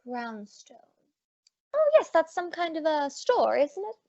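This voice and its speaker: A woman's voice: high-pitched, big pitch swings, measured pace, warm tone, no echo, exaggerated voice. Sassy lady private eye, woman acting it, wry radio play quips, dry close sound, bit dull. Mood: breezy, teasing. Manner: breezy, wry, quippy. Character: sassy, wisecracking lady private detective, confident and flirtatious. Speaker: detective lead and narrator. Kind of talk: old-time radio detective acting and first-person narration. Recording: dull lo-fi audio.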